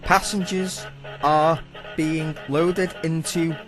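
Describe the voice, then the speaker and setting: A man playing an anxious, desperate ship's wireless operator in a radio play, with loud music behind him. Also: fairly high pitch, steady tone, slow pace. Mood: grave. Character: anxious young male Titanic wireless operator, dutiful and desperate. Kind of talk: amateur radio play acting.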